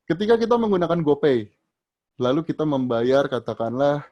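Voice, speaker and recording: A man's voice: fairly high pitch, sing-song, moderate pace, dark tone, muffled recording. A man leading an online training session, answering audience questions in a casual explaining manner with many fillers and restarts, his voice rising sharply at the start of each answer.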